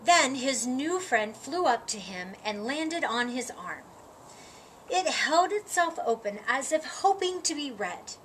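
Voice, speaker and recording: A woman reading a children's story aloud, with expressive, deliberate phrasing and dramatic emphasis. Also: high voice, low-quality recording. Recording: audible background noise.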